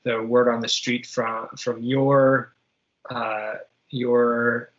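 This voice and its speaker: A man working as game master of a tabletop superhero game, improvising narration and explaining rules in a halting way, searching for his words. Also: medium pitch, level tone, lo-fi sound.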